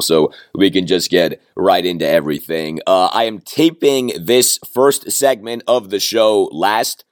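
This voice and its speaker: A man hosting a sports podcast with a hoarse, fading voice from a cold, running through topics in a plainspoken, self-deprecating way. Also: low voice, no echo, studio quality. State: hoarse, losing his voice from a cold. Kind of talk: solo sports podcast talk.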